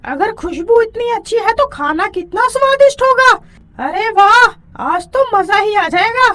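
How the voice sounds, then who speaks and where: A woman voicing a hungry, greedy witch in an animated children's story, exclaiming and gloating as she schemes. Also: very high voice, a little slow, full character voice. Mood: gleeful, greedy.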